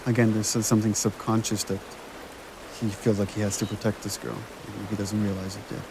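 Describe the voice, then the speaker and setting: A man's voice: on the low side, flat delivery, fast, noisy background. A man giving director's commentary on a game, conversational and explanatory.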